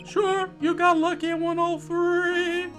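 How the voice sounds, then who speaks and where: Shrill, pompous male attorney. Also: fairly even intonation, extremely slow, a bit bright, soft background music, exaggerated voice. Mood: bitter, scornful. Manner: pompous, theatrical, strained outbursts. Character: proud, melodramatic, bitter male attorney in detention. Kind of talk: video game character read-aloud.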